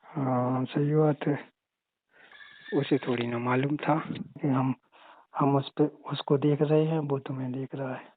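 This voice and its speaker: Guy playing flirty jealous brother in law, kinda monotone, secret phone chat, thin phone audio. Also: medium pitch, slow pace, long pauses, a little hiss or hum, lightly acted. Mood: possessive, teasing. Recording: tinny narrow phone-call audio.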